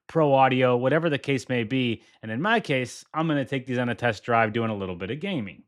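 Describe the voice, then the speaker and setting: Man, mid-pitched voice, product reviewer, upbeat promotional delivery, demo narration.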